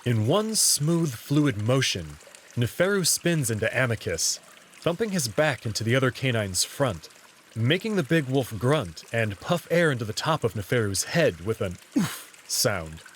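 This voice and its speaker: A man's voice: mid-range voice, sing-song, unhurried, a little hiss or hum. A man reading first-person story narration in the role of narrator.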